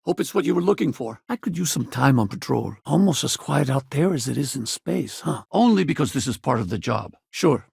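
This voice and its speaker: An easygoing male space pilot companion for a video game. His voice is husky and heavily creaky, recorded dry and close. He talks casually, loyal and wry, like someone used to a fight. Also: mid-range voice, expressive, fairly quick, few pauses, a little mellow, dry recording, full character voice. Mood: relaxed, casual. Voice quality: gravelly, husky. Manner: casual, colloquial, conversational.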